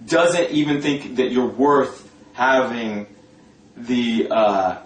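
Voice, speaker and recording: A man telling a personal story informally in a sardonic, gossipy, coarse-mouthed and animated way. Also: medium pitch, slow pace. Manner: animated, breaking often into laughter. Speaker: storyteller talking about a past religious community. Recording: roomy echo, distant mic, faint noise.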